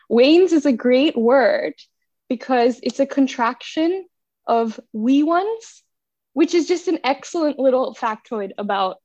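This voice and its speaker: A woman's voice: a little high, a little mellow, no room sound. A young woman from Bangladesh with an American accent, a podcast guest talking casually and laughing often. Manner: chatty, self-deprecating, laughs often. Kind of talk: casual chat. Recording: dull, dry sound.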